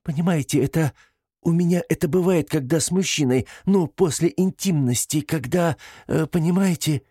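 A man voicing a flustered, ashamed older woman who pleads haltingly in a breaking voice, in an audiobook. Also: medium pitch, warm tone, no echo, studio quality, voice pushed up, natural voice. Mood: ashamed, distressed. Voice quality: breaking, tearful voice.

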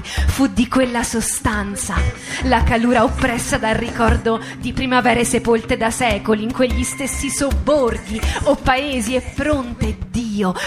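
A woman recites poetry as radio theatre, in a solemn, deliberate way with dramatic pauses. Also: a little high. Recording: music under the voice. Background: music.

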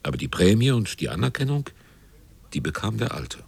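A man narrating a literary radio drama as a measured storyteller. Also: fairly low pitch, very animated, vocal fry, a little mellow, slightly rough.

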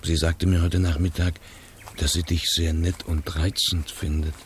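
A man playing a put-upon secretary in a radio play, husky, hesitant and guarded, with bitterness under his politeness. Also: deep voice, flat delivery, measured pace, slight background noise. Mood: brooding. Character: resentful, put-upon male secretary to a novelist.

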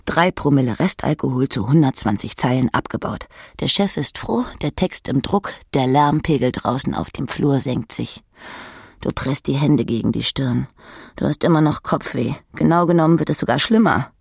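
A woman reading terse second-person literary narration as an audiobook narrator, in a husky, creaky voice. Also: extremely low pitch, normal speed, darkish tone, no room sound, studio quality.

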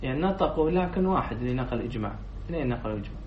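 A man's voice: medium pitch, lively intonation, very fast. A man teaching a class as a sheikh, reading lines from a text, explaining them and quizzing his students before repeating their answers. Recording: echoey room, distant dull mic, faint noise.

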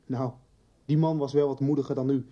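An actor playing an earnest, sensitive teenage schoolboy in a radio soap, blunt and emotional in manner. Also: male voice, medium pitch, steady tone, a little fast, dark tone, highs cut off, lightly acted. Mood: defiant, accusing. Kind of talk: naturalistic 1990s Dutch radio soap acting.

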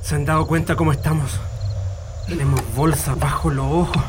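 Male actor as exhausted paramedic on the run, Chilean accent, slightly high, anxious, radio drama, very noisy. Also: fairly even intonation, moderate pace, lightly acted. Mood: shaken, weary. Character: anxious, exhausted paramedic, escaped political prisoner. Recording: very noisy ambience.

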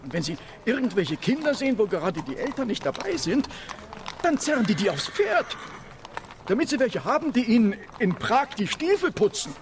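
A man's voice: very high voice, sing-song, moderate pace, exaggerated voice. An actor playing a worried townsman in a radio play, cautioning and explaining, in a noisy outdoor street scene. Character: worried male townsman warning about army recruiters.

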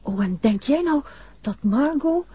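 An actress playing a practical, agreeable detective's wife in a 1960s radio drama, with a Dutch accent. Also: female voice, high-pitched, lively intonation, measured pace, warm tone, no echo. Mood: questioning. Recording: muffled 1960s radio recording.

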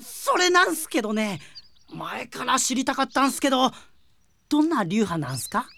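A woman's voice: sits high, big pitch swings, normal speed, a bit bright, heavily acted. A woman voicing a naive, earnest young male underling in a comic audio drama, speaking hesitantly in polite boyish slang. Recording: music clearly behind the voice.

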